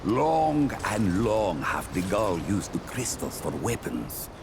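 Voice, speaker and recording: A man's voice: on the low side, big pitch swings, slow, creaky, noisy background, exaggerated voice. An actor playing a solemn, wise male trader from a jungle people in a video game, speaking in formal, archaic phrases. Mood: solemn, lamenting. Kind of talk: video game character monologue.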